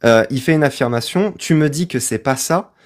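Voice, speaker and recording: A man's voice: mid-range voice, moderate pace. Insistent man hosting a live debate. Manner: insistent, probing, asks for sources. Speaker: stream host and debater.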